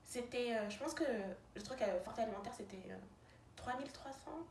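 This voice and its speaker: Young woman, non-native french speaker, chatty roommate, highish voice, echoey room with faint hiss. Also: animated, fairly quick, darkish tone. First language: neither French nor English. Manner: chatty, many fillers and restarts. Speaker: roommate answering questions. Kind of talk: casual chat.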